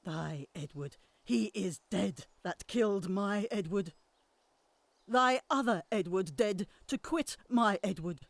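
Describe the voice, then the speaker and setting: A bitter older queen, bent on revenge. She talks slowly, hissing and whispering, then breaks into fierce curses. The sound is close and dry. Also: mid-range voice, no echo. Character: old deposed queen, bitter and vengeful. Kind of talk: Shakespearean audio drama acting.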